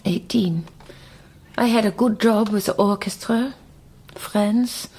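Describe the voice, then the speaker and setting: A woman's voice: medium pitch, slow, a little mellow, slightly rough, rough recording. An actress playing a reserved German woman, speaking tersely and carefully in a radio drama. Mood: wistful, guarded. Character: guarded, reserved German cellist recalling her parents. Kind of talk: naturalistic radio drama acting.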